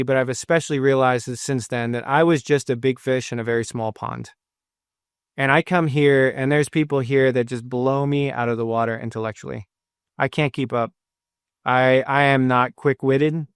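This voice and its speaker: A man's voice: medium pitch, fairly even intonation, normal speed, clear, resonant tone, dry recording, studio quality. A man in his thirties, a pastor giving an earnest sermon with self-deprecating personal stories, sometimes retelling scripture in the first person.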